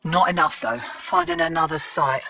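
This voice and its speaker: An actress playing a brash, wry, streetwise space-colony fixer in a video game, with a laconic, cocky, slangy drawl. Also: female voice, low-pitched, moderate pace, pushed and bright, long reverb tail, distant mic. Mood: exasperated. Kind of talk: scripted video game character dialogue.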